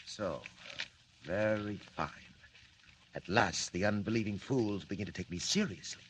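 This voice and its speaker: A man's voice: lowish voice, unhurried, a little hiss or hum, natural voice. An actor playing a scheming, mocking male villain mastermind in 1940s radio acting, with smug, stiff, formal phrasing.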